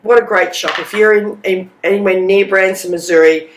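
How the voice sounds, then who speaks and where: An Australian woman with a cold, croaky and sleep-deprived, chatting and rambling as she co-hosts a stitching vlog from a hotel room. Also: mid-range voice, fairly even intonation, normal speed, reverberant, a little hiss or hum. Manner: chatty, rambling, warm asides. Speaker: co-host. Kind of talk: casual chat.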